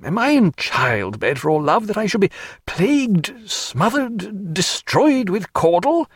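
A man voicing a testy, indignant invalid doctor who protests slowly, in a storybook reading. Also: sits high, very animated, husky, no echo, clean studio sound, pitched above the performer's own voice, full character voice.

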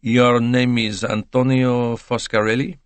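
A man's voice: medium pitch, flat delivery, unhurried, clear, resonant tone, no room sound, close to an everyday voice. A man voicing a polite, composed detective who questions formally and dryly in an audiobook mystery. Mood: questioning. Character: courteous, probing Belgian detective, dry and composed.

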